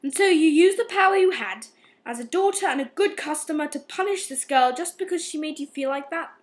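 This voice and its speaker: A woman playing a stern, insistent police inspector with a measured, firm delivery in an amateur radio play. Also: high voice, close to an everyday voice. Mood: accusing.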